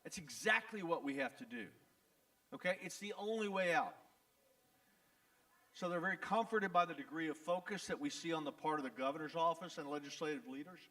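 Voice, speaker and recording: Texan lawyer at a town hall, plain-spoken and wisecracking, fairly high voice, mic picks him up from afar. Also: male voice, brisk, sharp tone, far from the mic. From Texas. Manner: folksy, blunt, joking, repeats "the bottom line is". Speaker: attorney. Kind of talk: town hall address to residents. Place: event venue in front of an audience.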